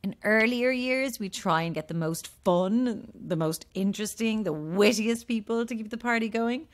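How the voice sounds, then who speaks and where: A woman's voice: medium pitch, moderate pace, close to an everyday voice. An actress playing a sardonic, world-weary woman trapped at an endless cursed party, dry and blunt, in an audio drama. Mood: dry, cutting.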